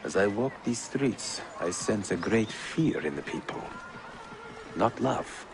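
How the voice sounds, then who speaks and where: An older man playing a proud, grieving elderly assassin master in a video game, speaking in a grave, formal, measured way. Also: fairly low pitch, animated, gravelly, background noise, full character voice. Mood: somber, weary. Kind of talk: dramatic video game cutscene acting. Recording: loud music over the dialogue.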